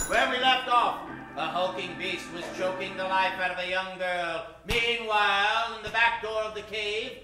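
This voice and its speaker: A man's voice: high-pitched, moderate pace, natural voice. A man playing a theatrical, melodramatic announcer and narrator in a 1940s-style radio serial. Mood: suspenseful. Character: excitable old-time radio announcer narrator. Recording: very echoey stage, distant mic, music behind.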